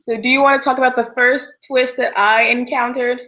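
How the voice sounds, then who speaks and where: A woman's voice: high-pitched, level tone, a little fast, lo-fi sound. A young woman co-hosting a casual book chat, telling her reactions informally as little quotes. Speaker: book discussion co-host.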